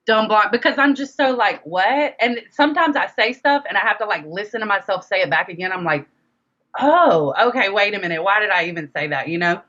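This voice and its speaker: A woman's voice: mid-range voice, brisk, lo-fi sound. A woman rapper and singer being interviewed, chatting casually and telling stories by acting out bits of conversation. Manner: animated storyteller, quotes conversations in dialogue.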